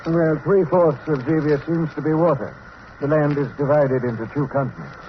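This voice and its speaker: A man's voice: a little high, lightly acted. Man playing a learned professor, muffled old radio. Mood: explanatory. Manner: measured, explanatory. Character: learned male professor, calm and reassuring explainer. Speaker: radio actor. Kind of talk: vintage radio drama acting. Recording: muffled, noisy vintage radio recording.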